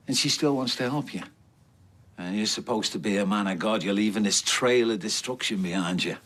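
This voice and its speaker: A menacing, taunting tough. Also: male voice, husky, heavily acted. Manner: taunting, swings from low menace to shouting. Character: menacing man threatening a fallen vicar.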